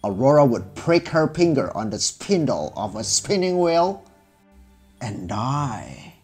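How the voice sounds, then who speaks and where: A male storyteller reads a children's fairy tale from a script. His delivery is unhurried, with a mid-range voice that moves expressively up and down. Faint music plays behind him. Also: medium pitch, very animated.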